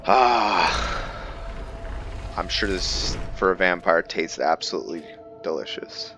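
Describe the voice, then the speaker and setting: A man giving casual let's-play commentary, curious and thinking out loud, now and then dropping to a hushed whisper. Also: low-pitched, takes it slowly, faint music behind. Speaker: gameplay commentator.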